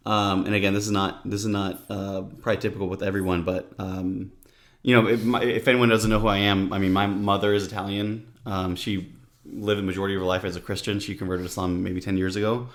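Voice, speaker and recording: A man's voice: low voice, flat delivery. A man who is a guest on a podcast, telling his own story in a casual conversation and often restarting his sentences. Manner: halting, with many restarts and "if you will".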